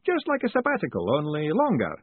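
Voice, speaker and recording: A man voicing a cheerful, fussy male wizard professor who sounds high, bright and chatty. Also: wildly swooping pitch, fairly quick, breathy edge, no room sound, exaggerated voice. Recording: tinny phone-like audio.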